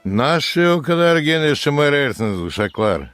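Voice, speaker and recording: A man's voice: on the high side, big pitch swings, slow pace, fairly bright tone, faint music behind, exaggerated voice. A man voicing a kindly old village grandfather in a cartoon, encouraging, with a storyteller's manner. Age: older. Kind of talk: cartoon character acting.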